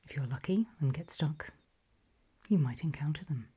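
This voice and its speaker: A woman playing a calm, faintly sinister official in a whispered ASMR roleplay briefing, breathy, measured and politely ominous. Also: sits low, heavily acted. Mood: ominous, matter-of-fact.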